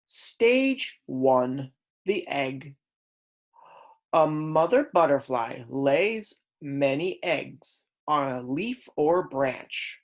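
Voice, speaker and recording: A woman reading aloud as a kindergarten teacher, in a deliberate, instructional way that stresses key words. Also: rumbling low, lively intonation. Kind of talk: read-aloud lesson for young children.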